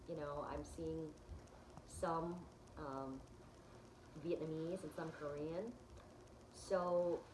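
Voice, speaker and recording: A woman's voice: lowish voice, roomy sound, slight background noise, rough recording. A woman vlogger chatting casually as she clicks through her results, with plenty of ums and restarts. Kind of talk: casual chat, walking through results on screen.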